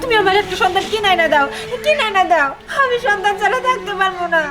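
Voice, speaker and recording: A woman's voice: very high voice, lively intonation, fast, over a noisy crowd. A woman playing a grieving, pleading childless village wife, crying as she speaks. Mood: anguished, pleading. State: tearful, crying. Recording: loud music over the voice.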